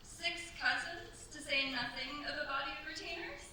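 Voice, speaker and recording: An actor playing a dutiful, anxious young French bride in a staged radio play, speaking politely and hesitantly. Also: female voice, sits high, lively intonation, normal speed, sharp tone, full character voice. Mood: uneasy, wistful. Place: staged performance in a cultural centre. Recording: very echoey hall, distant mics, faint hiss.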